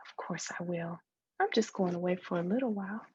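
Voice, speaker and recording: A woman's voice: fairly low pitch, fairly quick, a little mellow, slightly rough, lo-fi sound. A woman voicing a blunt, impatient girl, an imaginary friend who gives curt, scolding replies in a literary read-aloud.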